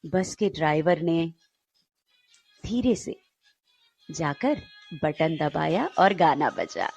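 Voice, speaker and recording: A woman's voice: sits low, sing-song, takes it slowly, vocal fry, darkish tone, husky, lo-fi sound, faint music behind. A woman narrator and co-host who speaks courteously and tells stories expressively.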